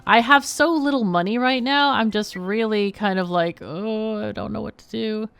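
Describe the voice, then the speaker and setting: A woman's voice: medium pitch, moderate pace, gravelly, fairly bright tone, clear, resonant tone, no room sound. A woman hosting a livestream, chatting casually as she plays a game. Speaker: livestream host. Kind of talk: casual live game chat.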